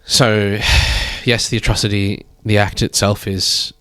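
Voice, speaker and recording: A man in his thirties, a guest speaking thoughtfully in a measured, drawn-out way. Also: on the low side, little pitch movement, bright, forceful tone, clear, resonant tone, dry recording. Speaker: guest artist. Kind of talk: reflective conversation.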